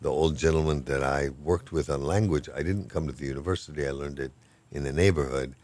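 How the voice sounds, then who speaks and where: An older man with an extremely deep, low voice talks in a relaxed, rambling way. He is good-humored and self-deprecating, a professor chatting through an interview. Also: fairly quick. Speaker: professor of Hawaiian language. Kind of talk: casual interview talk.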